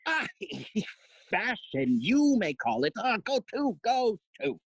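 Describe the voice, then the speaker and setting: Husky, long-winded old royal counsellor played by a woman, classical audio drama, very slow and halting, close dry sound. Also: a little high, big pitch swings, no echo, full character voice. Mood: probing, mocking. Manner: theatrical, halting, chuckling and humming between phrases.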